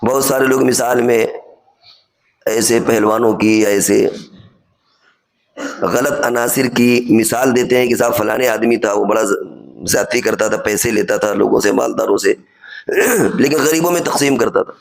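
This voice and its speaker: Male cleric, medium pitch, instructive Friday sermon delivery, reasoned and explanatory. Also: a little slow, creaky, long pauses. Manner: didactic, reasoning step by step with examples and rhetorical questions. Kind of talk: sermon, explanatory religious lecture.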